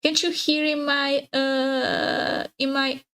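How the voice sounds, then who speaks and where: Slow-talking, high-voiced woman streamer. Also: flat delivery, sharp tone. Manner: halting, repeats words. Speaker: art streamer. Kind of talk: casual livestream chat.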